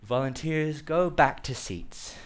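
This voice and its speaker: A man's voice: a little high, lively intonation, slow pace. A man performing a poetic monologue live on a theatre stage before an audience. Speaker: performance artist.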